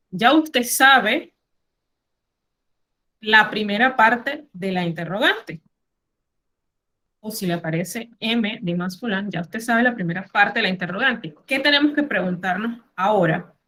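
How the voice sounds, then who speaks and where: A woman's voice: on the high side, bright, forceful tone. A middle-aged woman teacher with a Panamanian Spanish accent, teaching an online class and carefully explaining word lists. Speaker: French teacher.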